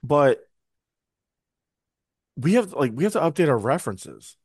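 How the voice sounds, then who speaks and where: A man co-hosting a podcast in casual chat, his voice hoarse and scratchy, talking in a wry, grumbling way. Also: a little high, big pitch swings, a little slow, a little mellow, dry recording, clean studio sound. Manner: wry, grumbling, mimics others in quotes. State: hoarse, recovering from lost voice. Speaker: podcast co-host.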